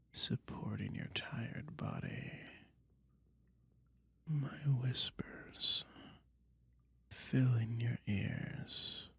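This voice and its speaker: A man voicing a soothing, toying dragon in a soft, breathy whisper, slowly lulling the listener in an ASMR hypnosis roleplay. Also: medium pitch, no echo, exaggerated voice. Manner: slow, soothing, lulling, drawn-out phrases.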